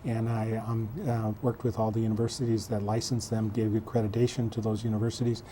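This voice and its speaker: Measured man in his sixties. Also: on the low side, completely flat delivery, mellow, a little hiss or hum. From south central Minnesota. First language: German. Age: 60s. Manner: measured, halting, many fillers. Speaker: school superintendent guest. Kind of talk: local TV interview.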